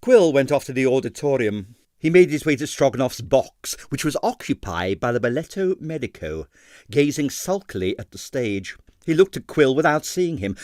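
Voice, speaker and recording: A man reading a comic novel aloud as an expressive audiobook narrator. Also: medium pitch, no echo, clean studio sound. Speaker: audiobook reader. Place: dry recording space.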